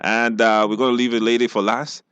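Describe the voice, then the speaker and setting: A man with a Belizean accent hosts a live call-in radio show. He chats casually with callers and guests in a medium-pitched voice. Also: flat delivery, fairly quick, a bit bright, clear, resonant tone, dry recording. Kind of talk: live call-in quiz hosting, casual chat.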